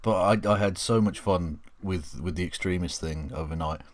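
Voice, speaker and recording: A man with a low voice chats as the co-host of an online discussion. His delivery is casual and joking, given to comic exaggeration. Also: normal speed. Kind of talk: casual banter and commentary.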